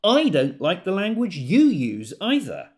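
A man's voice: high voice, huge pitch swings, unhurried, exaggerated voice. An actor playing a pedantic, prickly, indignant man arguing pompously in an audio drama. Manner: pompous, argumentative.

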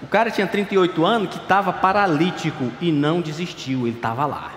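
A pastor in his thirties preaching a sermon at a pulpit, passionate and pleading, dropping to quiet asides. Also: fairly high pitch, quick pace, a little hiss or hum. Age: 30s. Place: large dark church stage at a pulpit. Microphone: stand mic at a clear pulpit. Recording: echoey church hall, faint ambience.

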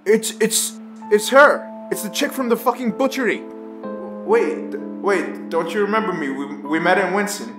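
An actor playing a sardonic, anxious, foul-mouthed young male survivor who narrates in first person for an audio drama, often halting and stammering. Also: high voice, echoey room, over music, close to an everyday voice. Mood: excited, insistent.

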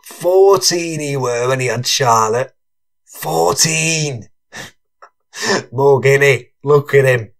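A man's voice: mid-range voice, drawn-out words, long pauses. A man playing a cheerful, boastful drug dealer in an audiobook, slangy and teasing. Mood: gossipy banter. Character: cheerful, boastful, cackling male drug-dealing pal. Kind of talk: full-cast audiobook character acting.

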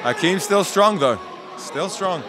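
A man with a British accent co-commentating a kickboxing bout, reacting with quick, enthusiastic calls and often shouting. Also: high voice, lively intonation. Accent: British English. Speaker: fight co-commentator. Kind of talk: ringside commentary. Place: function hall at a kickboxing event. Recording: loud crowd and hall noise behind.

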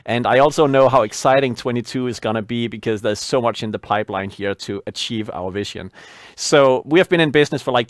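A man's voice: mid-range voice, no room sound, clean studio sound. Danish man presenting a webinar. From Denmark. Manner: friendly, slightly halting with fillers. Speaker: company founder presenting. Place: studio set at a desk.

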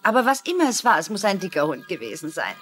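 A woman's voice: medium pitch, sing-song, quick pace, a bit bright, faint music behind. An actress playing a strong, brash, mocking woman with slangy, taunting talk in a dubbed drama.

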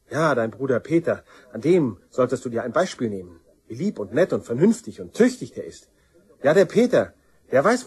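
Bitter, husky, aggrieved son. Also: male voice, mid-range voice, darkish tone. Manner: sarcastic, accusing. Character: bitter, aggrieved younger son, sneering at his mother. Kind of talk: German crime radio drama acting.

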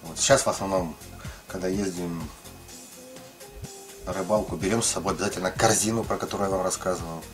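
A man's voice: sits low, fairly even intonation, a little fast, long pauses, music in the background. A middle-aged man casually explaining his ice fishing tackle, rambling with many fillers and restarts. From lived in Ukraine, now in the Russian far north.

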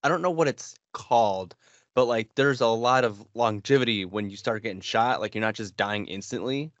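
An American man in his thirties chats casually as co-host of a gaming podcast. His voice is on the low side, recorded very dry with a slightly dull tone. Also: normal speed, a bit bright, no echo. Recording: slightly dull, band-limited, dry sound.

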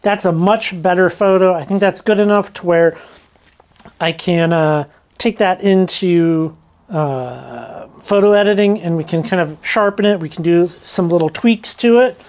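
Woman, slightly low pitch, informal vlogger, casual hands-on demonstration, thinking aloud. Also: measured pace, darkish tone. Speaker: rockhounding vlogger.

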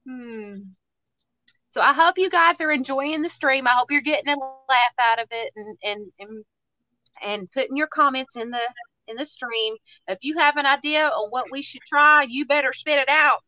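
A woman's voice: high voice, normal speed, pushed and bright, dry recording, lo-fi sound. A woman in her thirties with a Southern US accent, chatting and joking casually while hosting a craft livestream. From Tennessee, USA. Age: 30s. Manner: chatty, joking, self-mocking.

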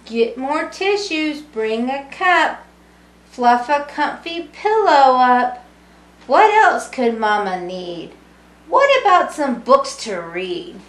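Middle aged lady reading a kids rhyming book, highish voice, singsong, echoey room. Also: big pitch swings. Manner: deliberate, singsong phrasing with explanatory asides to children. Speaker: storybook reader, early childhood education student. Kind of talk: read-aloud of a rhyming picture book for young children. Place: small indoor room with brick wall. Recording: roomy, echoing home recording.

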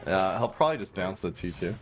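A man's voice: low voice, expressive, fast, noisy background. A man in his thirties casually commenting on a card game he plays on stream, chatting and joking with his viewers from a small room. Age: 30s. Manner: chatty, self-mocking, reads chat aloud.

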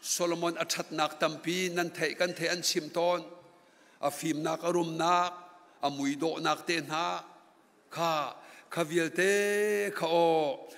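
A man's voice: fairly high pitch, fairly even intonation, slow, a bit bright. A middle-aged man preaching a sermon from a pulpit microphone in a large church hall, rising to emphatic passages. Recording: long church hall reverb.

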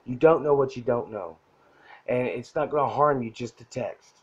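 A soft-spoken man giving a blunt, profane, pushy motivational talk straight to the camera as a self-help vlogger. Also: medium pitch, moderate pace, husky, highs cut off. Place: indoor room at a whiteboard. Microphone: phone camera mic.

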